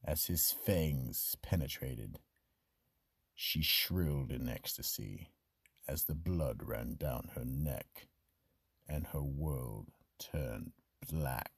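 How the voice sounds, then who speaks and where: A man playing an ominous narrator of a horror radio play, with a deep, gravelly voice. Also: very slow, no room sound, clean studio sound, exaggerated voice.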